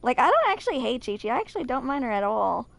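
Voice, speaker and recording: A woman in her twenties giving casual, rambling gameplay commentary, sometimes halting over her words. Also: sits high, big pitch swings, vocal fry, fairly bright tone, dry recording. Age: 20s. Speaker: video creator.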